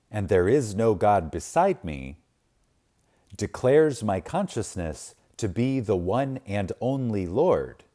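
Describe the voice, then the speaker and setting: A man reading a spiritual book aloud in a solemn, reverent manner, with deliberate pauses and emphasis. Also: fairly low pitch, dark tone. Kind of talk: reverent scripted reading, audiobook-like.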